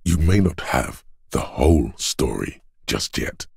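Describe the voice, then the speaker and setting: A man voicing the cold inner voice of logic in a detective's mind for a video game, measured and analytical, with a gravelly, husky delivery. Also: very deep voice, very animated, a little mellow, no room sound, studio quality, full character voice. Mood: coolly deliberate.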